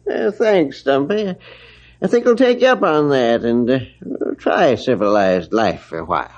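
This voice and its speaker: An old gold prospector in a radio western. His talk is rustic, stubborn and halting, with lively rises and falls. The old recording is dull and muffled. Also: medium pitch. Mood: relenting.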